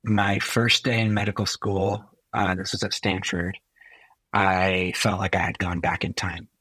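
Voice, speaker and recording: Middle-aged male physician guest, low husky voice, unhurried and reflective, dry close sound. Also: bright, forceful tone, no echo. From Chile. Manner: thoughtful, unhurried, often pausing to find words. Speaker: interview guest, physician and technologist. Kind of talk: reflective career storytelling.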